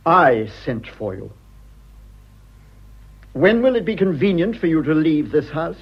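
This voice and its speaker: An actor playing a wronged upper-class English husband in a British radio drama, clipped, haughty and indignant. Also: male voice, on the high side, very animated, measured pace, husky, lo-fi sound, exaggerated voice. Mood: cold anger.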